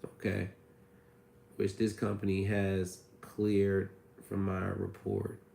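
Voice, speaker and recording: A man with a hoarse, raspy voice from a cold, hosting a casual livestream and answering viewer questions about credit repair. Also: low voice, monotone, takes it slowly, warm tone, echoey room, slight background noise. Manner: reads viewer comments aloud, chatty and loose.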